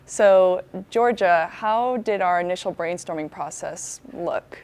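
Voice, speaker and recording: A woman's voice: lowish voice, slow, darkish tone. Young woman presenting in an engineering lab. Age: young adult. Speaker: student host of the video. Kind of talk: casual presenting. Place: university engineering lab, indoors.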